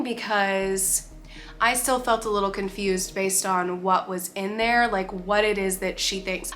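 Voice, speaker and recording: A woman's voice: mid-range voice, slight background noise. A young woman with a nasal, congested voice and short of breath, giving casual, opinionated commentary as a vlogger. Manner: chatty, opinionated, animated.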